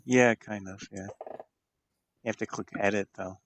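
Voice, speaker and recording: A man's voice: on the low side, steady tone, unhurried, a bit bright, no echo. A man playing in a tabletop role-playing game over a voice call, laid-back and asking questions. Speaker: player. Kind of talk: casual tabletop game chat.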